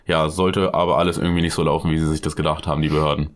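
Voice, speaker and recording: A man co-hosting a casual true-crime podcast, joking around with crude slang and lively asides. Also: very deep voice, rapid-fire. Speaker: podcast co-host.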